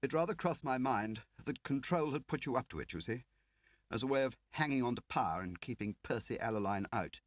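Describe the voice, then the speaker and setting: A man's voice: medium pitch, animated, fairly quick, no echo, voice pushed up, natural voice. A man voicing a haughty, pompous senior government official, in an audiobook. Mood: stiffly apologetic. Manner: pompous, drawling emphasis. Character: haughty, fussy senior government official, upper-class Englishman.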